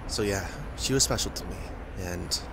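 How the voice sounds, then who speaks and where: A young man performing a first-person audio roleplay, confessing in a halting, reluctant way with frequent sighs, tearful as he talks. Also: low voice, vocal fry, noisy background.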